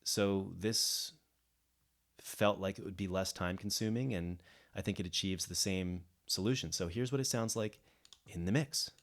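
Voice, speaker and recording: A man with a low voice talks through a music production tutorial. He explains things off the cuff, often restarting and rephrasing his phrases as he thinks aloud. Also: measured pace. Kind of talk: explanatory software tutorial, unscripted. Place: indoors, voice-over of a screen recording.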